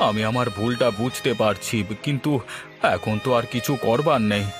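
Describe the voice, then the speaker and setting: A man's voice: medium pitch, moderate pace, lightly acted. Man voicing a downcast village husband, music behind. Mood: resigned, regretful. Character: downcast, remorseful village husband made homeless. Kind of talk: Bengali moral-tale cartoon dubbing. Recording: constant instrumental music behind the voice.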